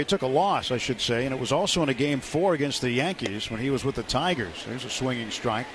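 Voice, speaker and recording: An American man doing live baseball play-by-play on radio in clipped descriptive phrases. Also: mid-range voice. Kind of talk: live sports commentary. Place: outdoor ballpark broadcast booth. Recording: noisy live ballpark radio broadcast.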